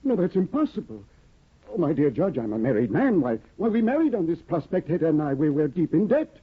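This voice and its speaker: A man's voice: on the high side, very animated, fairly quick, slightly rough. A man playing a fussy, anxious young scholar husband in a 1950s radio drama, stammering and eager. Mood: flustered. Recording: tinny old radio broadcast.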